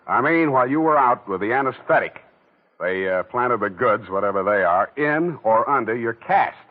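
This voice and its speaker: A man's voice: medium pitch, lively intonation, moderate pace, close to an everyday voice. An actor playing a dry, wisecracking male private eye who narrates, in a 1940s radio play. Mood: wry. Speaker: narrator and lead. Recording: tinny old radio broadcast with music.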